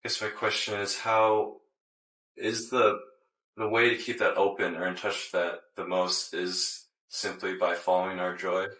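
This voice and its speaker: A man asking a question from the audience in halting, tentative phrases, recorded at a distance in a roomy space. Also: low-pitched, level tone, pushed and bright. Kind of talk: hesitant spoken question.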